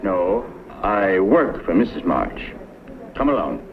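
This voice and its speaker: An actor playing a terse, unfriendly household servant in a 1960s B-movie. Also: male voice, low voice, very animated, takes it slowly, exaggerated voice. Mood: curt. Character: terse, unfriendly male servant of a rich woman. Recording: slightly noisy, muffled old film soundtrack.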